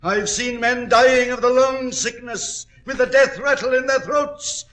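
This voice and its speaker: An actor playing a miner crazed with terror, halting and anguished, in 1940s radio drama style. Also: male voice, very high voice, steady tone, a bit bright. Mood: haunted dread. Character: terror-crazed miner, a shaken strong man raving. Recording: old-time radio drama recording.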